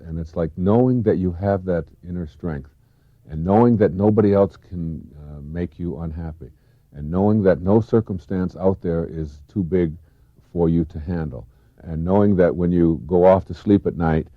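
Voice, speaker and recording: A man's voice: deep voice, moderate pace, no room sound. A man giving a reflective self-help lecture as a motivational speaker, earnest, with emphasis that swells and falls. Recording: dull lo-fi tape, close and dry.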